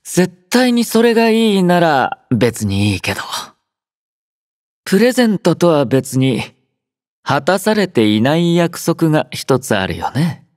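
An actor playing a laid-back young male army doctor in a game, speaking in a relaxed, drowsy, gently teasing way. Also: a little high, unhurried, no room sound, studio quality. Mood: easygoing teasing. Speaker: game character voice lines. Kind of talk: game voice collection. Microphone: close studio mic.

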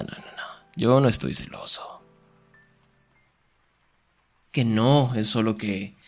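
Guy playing a teasing jealous best friend, young man, raspy whispery asmr voice w lots of fry. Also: fairly low pitch, exaggerated voice. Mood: jealous, needling. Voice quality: breathy, husky, often dropping to a whisper. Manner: teasing, sarcastic, sighing. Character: sarcastic, jealous young male best friend, soft-hearted. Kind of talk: ASMR boyfriend roleplay acting.

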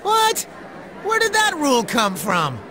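An easygoing slangy teen boy from a video game, talks slow, pitch all over the place. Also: wildly swooping pitch, crowd noise, noisy background, exaggerated voice. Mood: shocked. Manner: casual, slangy. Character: slangy, easygoing, emotional teenage high school boy. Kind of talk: scripted game voice acting.

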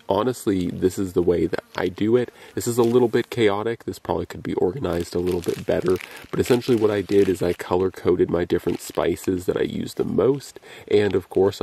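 Young male outdoor vlogger, casual explainer; deep, mellow delivery. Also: gravelly, slightly rough. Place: outdoors in woods. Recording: faint background noise outdoors.

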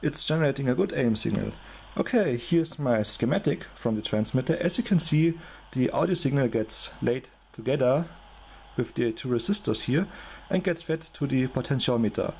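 A man's voice: medium pitch, lively intonation, normal speed, vocal fry, darkish tone, husky. A man with a German accent giving an informal, matter-of-fact technical demonstration as a hobby electronics presenter.

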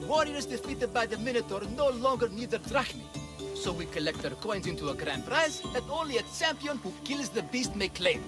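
An actor voicing an excitable showman who runs monster trials, with theatrical salesman patter. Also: very high-pitched, brisk, over loud music. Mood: smooth. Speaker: game character. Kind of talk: video game voice acting.

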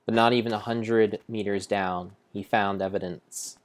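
A young man narrating gameplay as a solemn, deliberate, theatrical story. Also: on the low side, fairly even intonation. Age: young adult. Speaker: game streamer. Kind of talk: dramatic storybook-style narration over gameplay.

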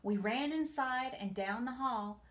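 A woman in her forties reading a picture book aloud to children in an expressive, deliberate way, with asides to her young listeners. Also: high-pitched. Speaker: storytime reader for a museum family programme. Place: small home room, indoors.

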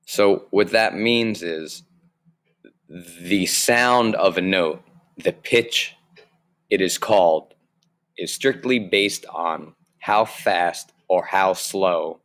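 Deep-voiced man teaching a music lesson. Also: fairly bright tone. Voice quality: low voice. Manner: slow, clear explaining. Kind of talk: recorded online lesson over slides.

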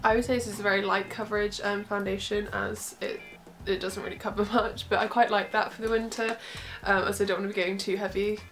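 A woman's voice: medium pitch, fairly quick, hardly any pauses, over loud music. A young woman with a cold and a bunged-up voice, chatting casually through a makeup tutorial in her bedroom, close to the camera. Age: young adult. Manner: chatty, relaxed, giggly asides. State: congested from a cold. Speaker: makeup vlogger. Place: small bedroom, indoors. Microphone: camera close in front.

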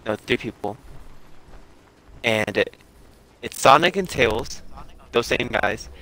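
A young man telling a casual story in a halting, rambling way full of self-corrections, as a game streamer chatting. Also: mid-range voice, animated, sharp tone, slight background noise, poor audio. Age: young adult. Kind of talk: casual storytelling over gameplay.

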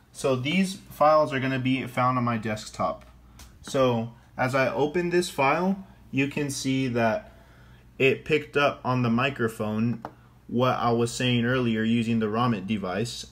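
A young man gives a product demonstration as a student presenter. He speaks slowly, with halting, deliberate phrasing, in a mid-range voice. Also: medium pitch, a bit bright. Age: young adult.

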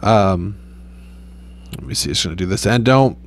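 A young man casually talking over his mobile gameplay in a rambling, laid-back way, with a flat, low-key voice and loose swearing. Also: normal speed, slight background noise. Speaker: gaming YouTuber.

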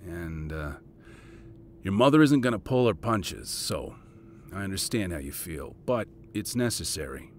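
A man voicing a military father in an audio drama, measured, fatherly and encouraging in manner. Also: low voice, animated, a little hiss or hum. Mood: firm, encouraging. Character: gruff but fond military father.

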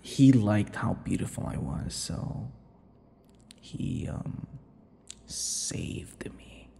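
A brooding, unhinged vampire, acted by a man for an intimate audio roleplay. He speaks low and very slowly in halting, seductive phrases that sink into breathy whispers. Long reverb hangs around every word. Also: a little hiss or hum, full character voice. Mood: bitter, brooding. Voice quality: breathy, gravelly, often dropping to a whisper. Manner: slow, halting, dramatic pauses and dark chuckles. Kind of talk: intimate ASMR roleplay monologue.

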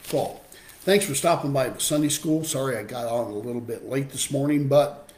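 Hoarse older man teaching Bible class. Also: mid-range voice, moderate pace, darkish tone, reverberant. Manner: explanatory, reads scripture aloud. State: hoarse from a cold. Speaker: Sunday school teacher. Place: home room with window. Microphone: webcam video call.

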